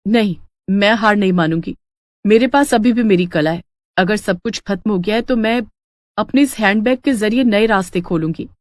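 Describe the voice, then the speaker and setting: A woman's voice: medium pitch, moderate pace, natural voice. A woman voicing an earnest young daughter-in-law in a moral story, emotive in manner. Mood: determined. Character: determined young daughter-in-law, hopeful purse maker.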